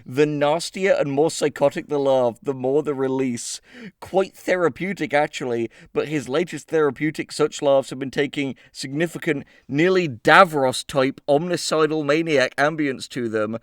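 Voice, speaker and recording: A man's voice: on the high side, normal speed, no room sound, studio quality. A man co-hosting a comedy podcast in casual chat, joking profanely and hyperbolically and reading things aloud mockingly. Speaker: podcast co-host.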